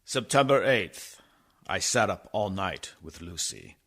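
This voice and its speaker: An actor in a radio play playing an earnest young doctor, narrating in a formal, deliberate way. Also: male voice, sits low, sing-song, noisy background, heavily acted. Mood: grave narration.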